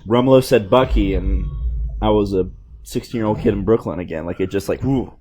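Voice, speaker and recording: A man's voice: fairly low pitch, brisk, warm tone. A man giving casual reaction commentary as a film commentary host. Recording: faint film sound and noise behind.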